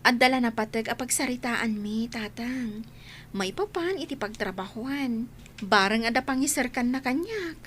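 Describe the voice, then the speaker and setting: A woman's voice: fairly high pitch, animated, normal speed, fairly bright tone. An actress playing an earnest, dutiful young village woman in a radio drama, speaking reassuringly. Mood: eager, respectful. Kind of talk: Ilocano radio drama acting. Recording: faint noise and ambience behind.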